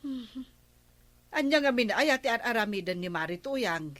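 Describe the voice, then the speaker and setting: A woman's voice: fairly high pitch, expressive, a little slow, fairly bright tone, no room sound. A woman playing a nagging but level-headed villager in a radio comedy drama, speaking with an Ilocano Filipino accent. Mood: reassuring, curious.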